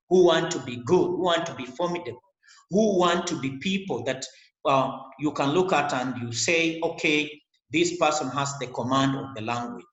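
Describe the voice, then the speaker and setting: Middle-aged kenyan guy teaching english on webcam, slow and earnest, echoey little room. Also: a little high, a bit bright. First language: a Kenyan language. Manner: slow, earnest, repeats words and phrases. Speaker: English lecturer. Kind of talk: online lecture to camera. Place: small indoor room.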